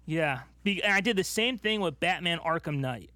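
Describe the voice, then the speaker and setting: Male podcast co-host, fairly high voice, casual and animated storytelling, recorded dry. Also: normal speed, dry recording. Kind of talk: casual chat about movies.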